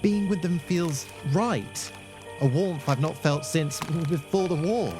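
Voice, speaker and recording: Echoey actor as a wary, lonely war survivor. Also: male voice, on the high side, takes it slowly. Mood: wounded. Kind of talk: audio drama acting. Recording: echoey, music underneath.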